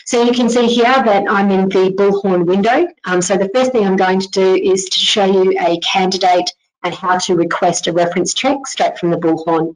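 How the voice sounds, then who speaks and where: A woman giving an informal narrated software tutorial, explaining things step by step as a company presenter. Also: medium pitch, rough recording. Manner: instructional, step-by-step.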